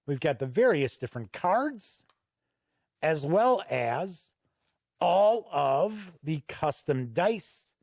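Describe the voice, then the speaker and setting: A man giving a casual product walkthrough as a reviewer, conversational and halting with frequent pauses. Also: a little high, sing-song, darkish tone, dry recording, clean studio sound. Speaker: tabletop game reviewer and host.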